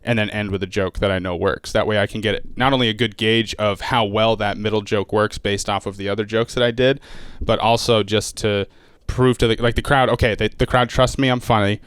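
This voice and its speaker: Smooth-voiced young male comedian. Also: fairly low pitch, fairly even intonation, a bit bright, no room sound. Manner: fast, rambling, heavy use of "like". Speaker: stand-up comedian guest. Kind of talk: casual podcast chat.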